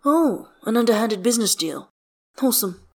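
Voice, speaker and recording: A woman's voice: a little high, animated, normal speed, pitched above the performer's own voice. A woman voicing a guarded, sardonic man in a story, with biting, mistrustful delivery. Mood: snappish, sardonic.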